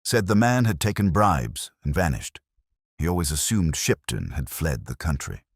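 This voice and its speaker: A man voicing a proper, hesitant Victorian widow in a hushed, deliberate, formal manner for a storybook. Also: rumbling low, sing-song, vocal fry, husky, no room sound, clean studio sound, heavily acted. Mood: puzzled, recalling.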